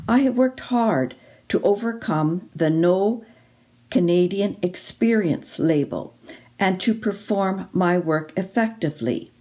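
A woman reading a written reflection aloud during a church service at a steady, even pace. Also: lowish voice. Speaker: worship reader.

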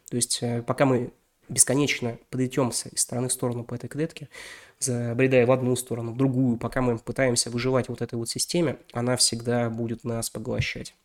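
A young man, slightly ill, hosting a podcast and talking loosely about a novel, with many fillers and self-corrections. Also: mid-range voice. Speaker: podcast host reviewing a novel. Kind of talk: casual spoken book review.

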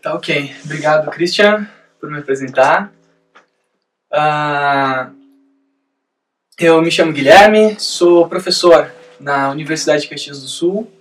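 A man's voice: fairly high pitch, slow, pauses often, distant mic. A man who teaches at a university, a guest on a live webinar, talking a little nervously. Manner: slightly nervous, apologetic.

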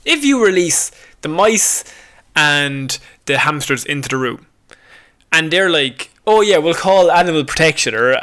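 High, bright-voiced man bantering. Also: expressive, unhurried. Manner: jokey, swearing, prone to laughing. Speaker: podcast co-host reading news stories. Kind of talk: casual comedic banter, reading odd news.

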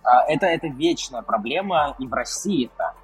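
A man's voice: mid-range voice, expressive, moderate pace, breathy edge, dry recording, faint music behind, poor audio. A man talking casually as the guest of a podcast, explaining at length with restarts. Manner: talkative, restarts and fillers while explaining. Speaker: podcast guest, film director. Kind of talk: casual conversation.

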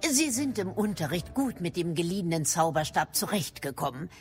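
Middle-aged female professor, formal, shrewd, brisk, lively intonation, lowish pitch, audio drama. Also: soft background music. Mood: brisk, instructive. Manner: formal, crisp, mildly probing. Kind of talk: German audio drama acting.

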